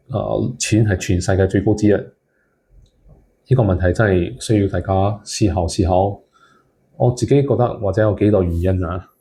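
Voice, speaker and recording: A man's voice: fairly low pitch, vocal fry, dark tone, a little hiss or hum. A man giving casual advice to viewers as a solo content creator, mixing English words into his speech.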